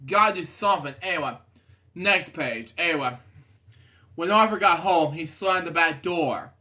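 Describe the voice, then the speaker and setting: High-pitched man rambling through a storybook. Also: moderate pace, bright, forceful tone. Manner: rambling, digressive, often breaking off to comment. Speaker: storybook reader and show host. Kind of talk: casual read-aloud of a children's picture book with rambling asides.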